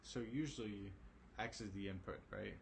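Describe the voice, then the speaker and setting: A man teaching a recorded algebra lesson, his voice raspy and tired from a late hour, talking casually with lots of ums and okays. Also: fairly low pitch, moderate pace, slight background noise. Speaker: math teacher.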